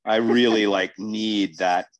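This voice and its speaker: Deep-voiced man on a casual podcast. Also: steady tone, takes it slowly, no room sound. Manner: emphatic, repeats words for emphasis. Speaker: podcast host.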